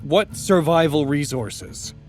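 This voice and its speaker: A man's voice: on the high side, slow pace, loud music behind the voice. A man acting in an audio drama as an earnest, exasperated staffer caught between feuding factions. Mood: alarmed, questioning. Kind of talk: audio drama acting with formal log narration.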